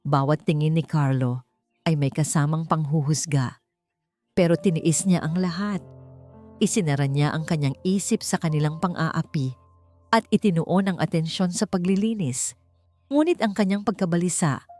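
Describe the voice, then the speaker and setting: A woman's voice: sits low, mellow. A woman narrator reading a scripted dramatic story as an audiobook.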